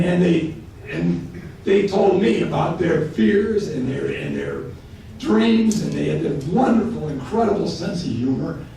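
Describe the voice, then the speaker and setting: Gravelly man speaking in an echoing hall. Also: on the high side, a little hiss or hum. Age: late 50s. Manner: storytelling, halting with repeated words, growing excited. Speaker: keynote speaker. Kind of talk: speech at a banquet. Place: large hotel banquet hall. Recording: echoey hall from far off.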